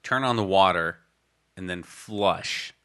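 A young man with a low, bright voice tells a long story in casual banter. He rambles, restarts his sentences and piles up fillers as he goes. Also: slow pace, smooth. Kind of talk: casual chat.

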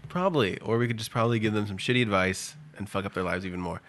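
Man, low voice, dry teasing podcast host, casual swearing. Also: very fast, fairly bright tone, slight background noise.

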